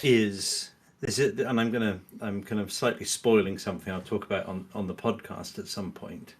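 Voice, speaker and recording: A middle-aged man as a guest in casual podcast chat, halting and earnest as he thinks aloud. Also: on the low side, steady tone, husky.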